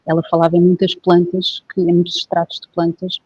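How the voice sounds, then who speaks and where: A woman from Portugal with a European Portuguese accent, a guest herbalist telling her story in a relaxed, hesitant way with many fillers. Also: lowish voice, fairly quick, husky. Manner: reflective, hesitant, with frequent filler phrases. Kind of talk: casual interview conversation. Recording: dull, dry lo-fi recording.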